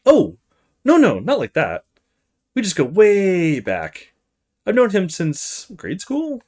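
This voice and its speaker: A man's voice: sits high, wildly swooping pitch, slow, a little mellow, pitched above the performer's own voice, exaggerated voice. A man voicing a feisty, guarded young female university student, blunt and defiant, in a visual novel read-aloud. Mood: flustered.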